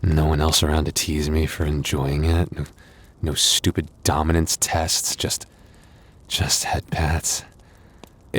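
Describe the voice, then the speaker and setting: A man voicing a tender, bashful young werewolf boyfriend in an intimate ASMR roleplay, halting and stammering, with breathy little laughs and sighs. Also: extremely low pitch, heavily acted. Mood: shy, touched.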